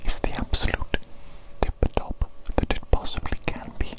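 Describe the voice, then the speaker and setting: A woman voicing an evil, doting mad doctor in a breathy ASMR whisper, with formal, repetitive phrasing. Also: lightly acted. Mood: doting, theatrical. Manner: slow, repetitive, formal old-fashioned phrasing. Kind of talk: whispered ASMR medical roleplay.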